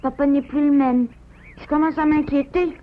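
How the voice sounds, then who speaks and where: A woman's voice: sits high, steady tone, moderate pace, lightly acted. A woman voicing an earnest young boy beaver in a French cartoon dub. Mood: worried. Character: earnest young boy beaver, worried about his father. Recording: dull lo-fi cartoon soundtrack, faint noise.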